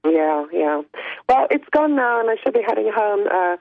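Talk host, woman, gravelly voice still recovering from laryngitis, chatty, tinny phone-line sound. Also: mid-range voice, big pitch swings, moderate pace, no room sound. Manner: chatty, laughs often while telling stories. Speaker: radio talk show host. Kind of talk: casual on-air chat.

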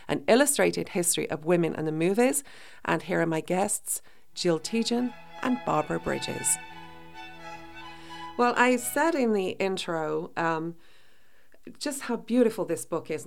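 A woman hosting a radio talk show, her voice hoarse while she recovers from bronchitis, enthusiastic as she introduces guests. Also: lowish voice.

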